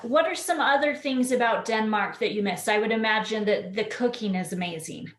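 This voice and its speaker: Host: woman, upbeat, higher voice, echoey room. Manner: upbeat, warm questions, quick laughs. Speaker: podcast co-host interviewer. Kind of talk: casual interview chat.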